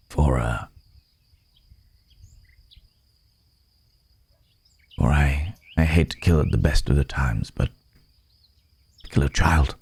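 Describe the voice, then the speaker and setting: Man playing a very deep, weary werewolf. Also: a little mellow, breathy edge, exaggerated voice. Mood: somber, remorseful. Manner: halting, grave, pensive. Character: weary, remorseful male werewolf in human form. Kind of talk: slow, intimate ASMR roleplay.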